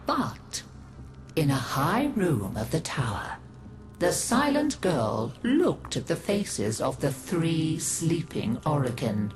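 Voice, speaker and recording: An old grandmother spinning a macabre game tale in a low voice that swoops widely for drama. She savours every phrase and draws it out, with music playing behind her. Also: full character voice. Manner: savouring, dramatic, drawn-out delivery. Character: theatrical old grandmother telling gruesome tales. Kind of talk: theatrical scripted storytelling in a video game.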